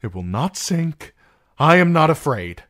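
A narrator voicing a frightened, anxious young man who pleads in a high voice. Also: sing-song, takes it slowly, full character voice. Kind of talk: audiobook character voice.

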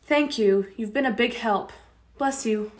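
A woman's voice: fairly high pitch, a little slow, noisy background, lightly acted. A woman playing a polite but desperate young wife and mother in a radio play, earnest and pleading. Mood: subdued.

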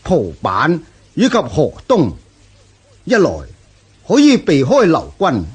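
A man with a Hong Kong accent playing a commanding, scheming Xiongnu chieftain in a historical radio drama, with a husky voice. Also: fairly high pitch, huge pitch swings, slow pace, full character voice. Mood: calculating, commanding.

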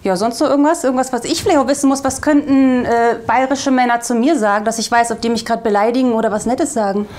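A woman's voice: fairly high pitch, fairly even intonation, fast, hardly any pauses, a little mellow. A young woman, presenting in a light, chatty way. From Bremen, Germany. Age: young adult. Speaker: video host. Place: indoor green-screen studio.

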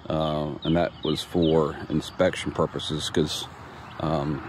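A man with a very deep voice gives a relaxed, plain-spoken tour of his construction site, stopping often for ums and restarts. It was recorded outdoors on a handheld phone. Steady outdoor noise sits clearly behind him. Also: slow. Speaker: homeowner showing his build. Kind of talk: casual walkthrough narration. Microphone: phone camera held while walking.

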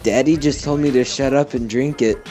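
Giggly teen boy co-player, middle pitch, faint game music behind. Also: fairly even intonation, moderate pace, warm tone. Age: teenager. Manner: giggly, joking. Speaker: co-player friend. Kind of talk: casual gaming chat.

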